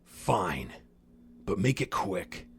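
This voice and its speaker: A man playing a gruff, watchful company associate in an audio drama, terse and commanding. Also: deep voice, big pitch swings, very slow, background noise, exaggerated voice. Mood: stern.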